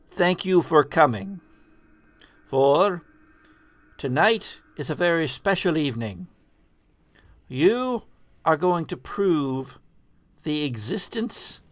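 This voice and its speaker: A man playing a spooky, theatrical hologram host in a tabletop game, speaking gravely and portentously with halting pauses. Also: on the high side, lively intonation, no echo. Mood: grand, ominous. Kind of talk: old horror-movie monologue in a tabletop game.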